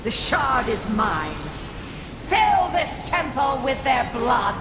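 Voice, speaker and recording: An actress playing a cruel, imperious cult sorceress in a video game, with a haughty, theatrical, menacing delivery. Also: female voice, high voice, sing-song, slow pace, music in the background, exaggerated voice. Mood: furious command.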